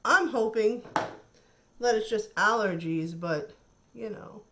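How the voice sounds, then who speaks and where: A woman's voice: medium pitch, slow pace, background noise. Chatty woman, slightly nasal from a cold. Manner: chatty, admiring, thinking aloud while applying makeup. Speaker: beauty vlogger. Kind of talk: casual makeup tutorial chat.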